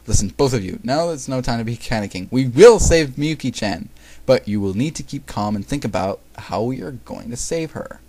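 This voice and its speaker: A man's voice: medium pitch, lively intonation, moderate pace, a little mellow. A man voicing a bossy, teasing teenage girl adventurer in an amateur story reading, speaking emphatically and with exasperation. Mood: stern.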